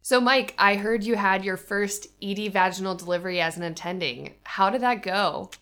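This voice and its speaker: A young woman with a hoarse voice from a cold, hosting and explaining a medical teaching podcast. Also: mid-range voice, normal speed, a bit bright. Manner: clear explanatory delivery. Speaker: podcast host.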